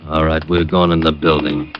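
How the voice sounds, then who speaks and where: An actor playing a surly, menacing street thug in 1940s radio style, curt and slangy. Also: male voice, very deep voice, little pitch movement, normal speed. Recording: muffled, noisy vintage radio recording.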